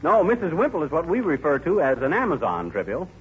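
Folksy wisecracking husband, animated, high-pitched. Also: male voice, fast talker, a crowd in the background. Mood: cocky, teasing. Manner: slangy, jokey. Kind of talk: 1940s radio comedy acting. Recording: muffled old radio broadcast.

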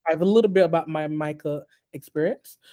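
A man born in Haiti and raised in the US, talking as a guest on a podcast interview in a measured way, with many ums and restarts. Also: on the high side, warm tone. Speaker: guest artist.

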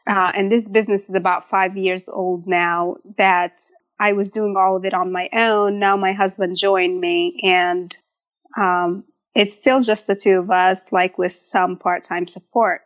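A woman's voice: mid-range voice, little pitch movement, unhurried. Egyptian-born woman blogger chatting as a guest, laughs easily, kinda muffled lo-fi call audio. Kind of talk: casual interview chat.

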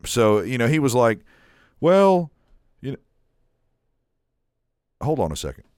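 A man's voice: medium pitch, big pitch swings, takes it slowly, darkish tone, smooth, dry recording. A man co-hosting a podcast, telling a long story in casual chat and acting out what others said, swearing now and then. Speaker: podcast co-host.

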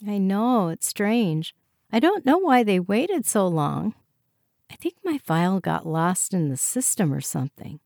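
A woman narrator acts out a shy woman in her thirties in an audiobook. The delivery is hesitant, awkward and earnest, with a little creak in a close, dry recording. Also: medium pitch, very animated, measured pace, darkish tone, no room sound. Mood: nervous, apologetic. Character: shy, self-conscious thirty-six-year-old woman, awkward and polite. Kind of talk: audiobook character voice.

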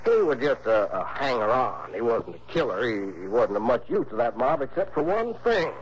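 A man's voice: mid-range voice, sing-song, normal speed, close to an everyday voice. An actor playing a folksy, crotchety small-town insurance man in an early 1960s radio drama, with a hesitant, exasperated drawl. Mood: confiding. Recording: muffled, noisy old radio broadcast.

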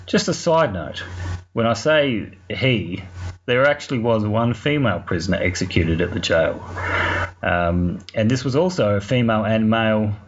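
Aussie bloke telling a story for a podcast, lowish voice, wry n sweary, bit muffled with noise behind him. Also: male voice, expressive, moderate pace. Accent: Australian. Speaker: podcast segment contributor. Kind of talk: informal spoken storytelling. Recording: muffled lo-fi recording, clear background noise.

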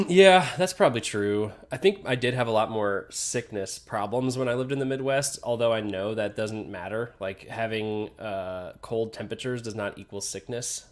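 A man's voice: lowish voice, normal speed, few pauses. Congested laid-back young man. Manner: laid-back, swears, laughs often. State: congested from a sinus infection. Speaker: podcast co-host. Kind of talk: casual chat over beers.

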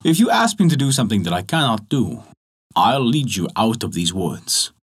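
A man voicing a sly, menacing male devil in a storybook reading, low and drawn out. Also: sing-song, normal speed, husky, heavily acted.

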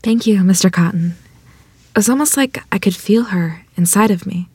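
A woman's voice: medium pitch, moderate pace, a little mellow, breathy edge, dry recording. A woman playing a modest, polite rural wife and mother in a period table read, speaking with hesitant self-doubt. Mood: humble, uneasy.

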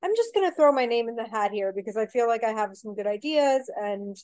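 A woman's voice: on the high side, lively intonation, brisk, darkish tone, no echo. A woman from Mexico, a theater director, talking casually in an interview with lots of filler words. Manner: chatty, rambling with many "you know" fillers.

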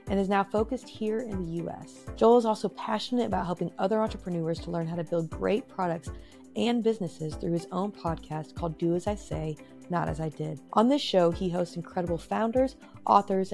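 Woman podcast host, low-pitched, upbeat, faint music bed. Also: vocal fry, darkish tone. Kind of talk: scripted intro then casual interview.

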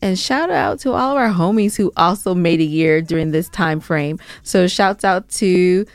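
Smooth-voiced woman in casual podcast chat. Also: fairly low pitch, moderate pace, no room sound. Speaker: podcast co-host.

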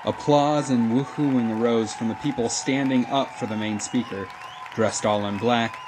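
A man's voice: mid-range voice, steady tone, normal speed. A man narrating a scripted audio drama as a storyteller. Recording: crowd ambience and noise behind.

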